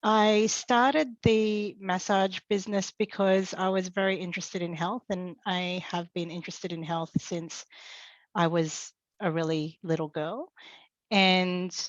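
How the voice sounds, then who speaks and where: A woman's voice: on the low side, fairly even intonation, a little slow, no room sound. A woman who runs a small business, talking about her own story in a chatty way with lots of fillers. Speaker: panelist, small business owner. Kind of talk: casual personal account. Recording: dry, dull lo-fi audio.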